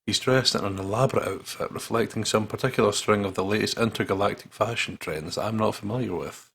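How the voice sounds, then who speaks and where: Deep, husky Scottish man gaming. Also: fairly quick, fairly bright tone. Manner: irreverent, sweary. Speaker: gaming channel co-host. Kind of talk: reading game text aloud and casual banter.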